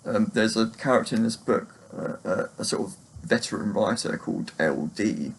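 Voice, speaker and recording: A man giving a casual, unscripted book review, speaking in a halting, hesitant way with long pauses. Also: fairly low pitch, lively intonation, gravelly, a little hiss or hum, rough recording. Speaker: book reviewer, video blogger.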